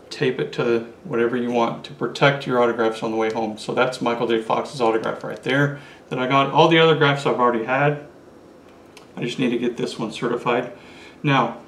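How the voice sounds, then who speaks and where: A man in his forties chatting casually to camera as he holds up his collectibles one by one, recorded at a desk in a small room. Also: medium pitch. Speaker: collector vlogger. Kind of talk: casual show-and-tell vlog. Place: small indoor room with curtains. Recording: roomy echo, faint room hiss.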